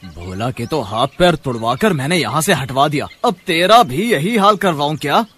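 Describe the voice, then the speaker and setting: A man playing a jealous, bullying street vendor in an animated story, with a rough, blustering, threatening delivery. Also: fairly high pitch, moderate pace, heavily acted. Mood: menacing.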